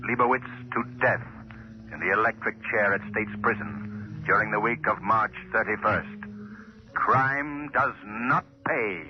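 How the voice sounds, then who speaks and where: An actor playing an ominous, all-knowing avenger declaiming in 1940s radio drama. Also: male voice, fairly low pitch, measured pace, close to an everyday voice. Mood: ominous, stern. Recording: muffled 1940s radio, faint music.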